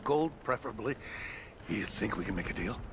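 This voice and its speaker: An actor playing a nervous maintenance technician in a video game, speaking in a hushed, urgent manner. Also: male voice, on the low side, lively intonation, fairly quick, lightly acted. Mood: nervous, conspiratorial. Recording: clear background game noise.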